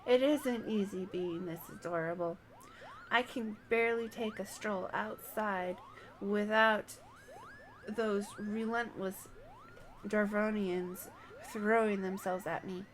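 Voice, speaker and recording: A woman's voice: mid-range voice, fairly even intonation, slow pace, faint music behind, lightly acted. A woman voicing a vain, haughty little fluffy moogle in a video game, theatrical and self-admiring. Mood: smug.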